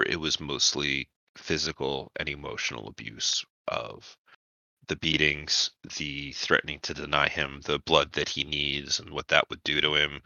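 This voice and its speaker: A man working as game master, narrating a tabletop vampire roleplaying game in a measured, explanatory way. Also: extremely low pitch, gravelly, sharp tone, dry recording, dull audio.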